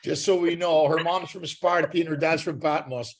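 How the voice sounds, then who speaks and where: A man's voice: fairly high pitch, steady tone, moderate pace. A man from Canada with a Canadian accent, co-hosting a podcast in wisecracking, rambling casual chat. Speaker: podcast co-host.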